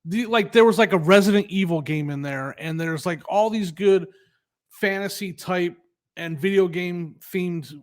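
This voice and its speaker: A man's voice: high-pitched, moderate pace. Upbeat man chatting on a podcast. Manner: upbeat, laughs often, proud of his finds. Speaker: podcast co-host. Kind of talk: casual chat.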